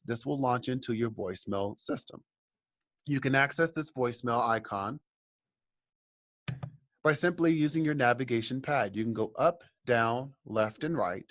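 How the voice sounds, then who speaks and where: A man presenting a software tutorial, explaining each step and speaking directly to the viewers in an instructional way. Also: medium pitch, dry recording, clean studio sound. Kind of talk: software tutorial walkthrough, semi-scripted explanation.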